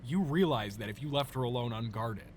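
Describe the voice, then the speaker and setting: A man playing an exasperated, reasonable superhero interrogator in an improvised comedy sketch, deadpan, halting and conversational. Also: mid-range voice, a little hiss or hum, close to an everyday voice. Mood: questioning.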